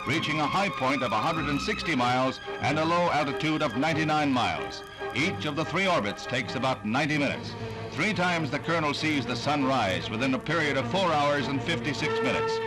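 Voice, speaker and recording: A man's voice: a little high, rough recording. An American man narrating a 1960s newsreel in a dramatic, grandiose announcer's delivery, on an old film soundtrack. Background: loud music.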